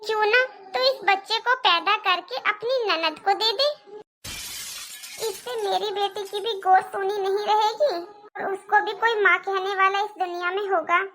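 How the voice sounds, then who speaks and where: A woman's voice: very high voice, fairly even intonation, measured pace, pushed and bright, soft background music, full character voice. A woman voicing a pleading, scheming older mother-in-law in a cartoon family drama. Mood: persuasive. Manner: pleading, lamenting, persuasive.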